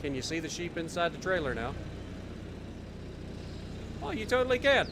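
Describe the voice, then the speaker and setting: A man's voice: fairly high pitch, big pitch swings, normal speed, background noise. A man with an Ohio accent, casually commenting while he plays a game, joking and chatting to himself and his viewers. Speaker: game streamer.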